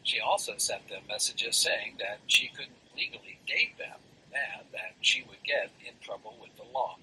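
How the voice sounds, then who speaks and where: A man's voice: on the low side, normal speed, pushed and bright, slight background noise, poor audio. A man with an American accent reading a scripted news report as a reporter.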